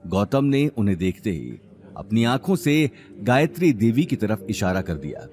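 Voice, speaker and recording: A man's voice: on the low side, big pitch swings, normal speed, a little mellow, faint music behind. A man narrating a scripted audio drama story in a dramatic style.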